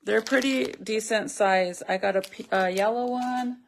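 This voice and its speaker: A woman's voice: on the high side, measured pace, vocal fry. A woman whose first language is Spanish, chatting casually and bluntly to her viewers while working on a craft project. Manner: blunt, profane, chatty, talks to viewers and commenters. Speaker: vlogger.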